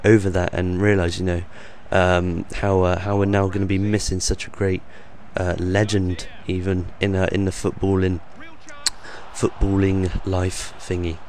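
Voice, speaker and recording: A young man with a low, croaky voice talks over football game footage, improvising with plenty of ums and uhs. His delivery stays flat and even, with little rise or fall. A faint hiss of background noise sits under the voice. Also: measured pace. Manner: rambling, hesitant, many ums and uhs. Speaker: gaming channel host. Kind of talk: off-the-cuff voice-over commentary.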